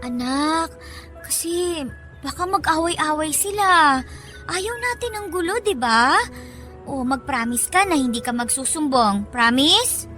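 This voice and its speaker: An anxious, tender young mother in a radio drama, with a very high, bright voice over faint music. She soothes and coaxes gently, full of emotion. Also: animated, unhurried, full character voice. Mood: coaxing, worried. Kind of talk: Tagalog radio drama acting.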